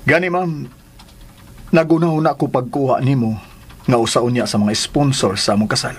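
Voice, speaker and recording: An actor with a Filipino accent playing an earnest, devoted young suitor in a radio drama. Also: male voice, medium pitch, normal speed, breathy edge, natural voice. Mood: earnest, respectful.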